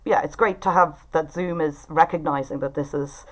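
A woman's voice: deep voice, steady tone, moderate pace. A woman with an Irish accent, informally hosting an online group discussion over a video call. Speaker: writers' group facilitator and event host. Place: indoors at home.

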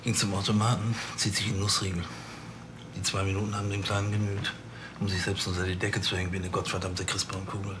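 An actor playing a boastful, world-weary police boss with brooding tough-cop talk in a television crime comedy. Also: male voice, deep voice, little pitch movement, fast talker, noisy background, close to an everyday voice. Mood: brooding, self-important.

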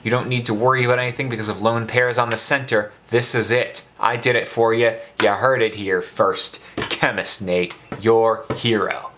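A man's voice: medium pitch, steady tone, a little fast, few pauses, fairly bright tone, slight background noise. A man tutoring chemistry in a casual, informal way, talking through a worked problem with playful cockiness.

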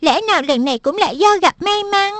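Lady doing a chirpy cartoon schoolgirl, super high, teasing questions, dry studio. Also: animated, brisk, fairly bright tone, no room sound. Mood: bright curious. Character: cheerful schoolgirl classmate, curious and chatty. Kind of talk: cartoon dubbing.

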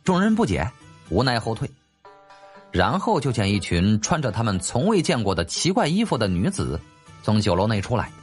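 一位男演播者用戏剧化又略带调侃的口吻讲述历史小说有声书。. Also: male voice, on the low side, sing-song, normal speed. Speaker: audiobook narrator. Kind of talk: dramatic storytelling narration of a historical novel. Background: faint background music.

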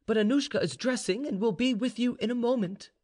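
A man voicing a calm, dutiful young noblewoman, reserved and soft-spoken, with formal phrasing in a storybook reading. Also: very high voice, brisk, pitched above the performer's own voice.

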